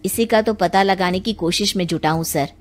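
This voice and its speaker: A woman plays a diligent police inspector in a cartoon moral tale. The mid-pitched voice is earnest and deferential. Music runs behind it. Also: normal speed, natural voice. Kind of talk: Hindi animated moral story dialogue.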